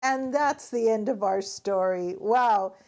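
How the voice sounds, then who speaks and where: A woman reading a picture book aloud to young children in an expressive, carefully paced way. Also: mid-range voice, slow. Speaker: children's story reader, host.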